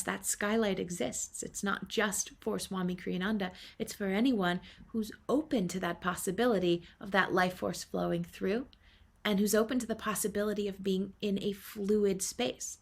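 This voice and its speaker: Woman giving a spiritual talk, earnest and affirming, mid pitch, takes her time. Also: gravelly. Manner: earnest, affirming, with many pauses. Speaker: spiritual teacher.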